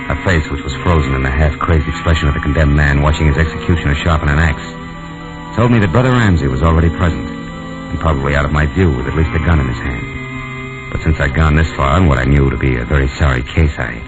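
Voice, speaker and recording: Very deep-voiced hard-boiled radio detective. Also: male voice, fast. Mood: weary, brooding. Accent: American. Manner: terse, deadpan, tough-talking. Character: hard-boiled male private detective, wry and world-weary. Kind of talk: 1940s radio detective drama, first-person narration and dialogue. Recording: dull old radio recording with music.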